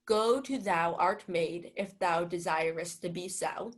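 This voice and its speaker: A woman's voice: lowish voice, a little slow. Smug, lovesick manservant played by a woman, grandly declaiming Shakespeare for radio. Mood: pompous. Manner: pompous, declamatory. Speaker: student actor. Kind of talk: Shakespeare in 1940s radio play style.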